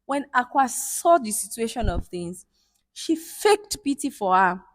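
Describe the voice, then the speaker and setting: A woman's voice: on the high side, big pitch swings, unhurried, no echo. A woman with a Nigerian accent narrating a folktale in a plain retelling style, now and then repeating herself. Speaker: storyteller.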